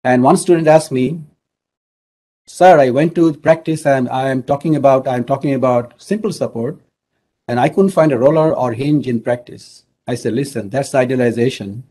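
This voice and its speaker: A middle-aged man from Bangladesh, an engineering professor, giving an online lecture in a measured way with many fillers. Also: mid-range voice, warm tone.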